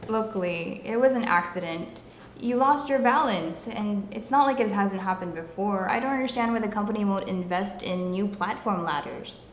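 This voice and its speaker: A woman's voice: medium pitch, normal speed, close to an everyday voice. A young woman playing a concerned coworker urging a friend in an amateur drama. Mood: insistent. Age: teenager or young adult. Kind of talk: amateur scripted drama dialogue. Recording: roomy echo, clear background noise.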